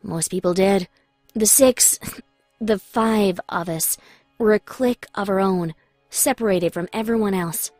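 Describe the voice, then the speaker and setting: A woman's voice: medium pitch, a little slow, a little mellow, no room sound, faint music behind. A young woman reading dramatic scripted first-person narration in an emotive, confessional manner.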